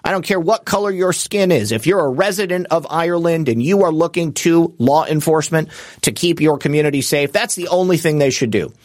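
A man's voice: on the high side, fairly quick, a little mellow, dry recording, studio quality. A man hosting a political talk show, delivering an unscripted monologue in a rambling, emphatic way with frequent fillers and restarts. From United States. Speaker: political commentary show host.

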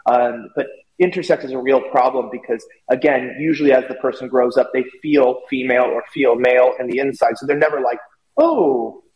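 A man with a mid-pitched voice talks as an opinionated guest commentator. He rambles through long casual explanations. The sound is echoey, with a long reverb around his voice. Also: steady tone, brisk, dull audio. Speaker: guest commentator on a talk show.

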